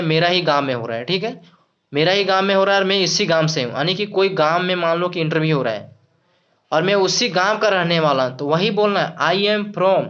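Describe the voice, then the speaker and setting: A man teaching English to Hindi speakers. He repeats himself, restarts words and slows right down when he says the English examples. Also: high voice, a bit bright. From Jharkhand, India. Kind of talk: explaining English phrases in Hindi. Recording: dull lo-fi sound.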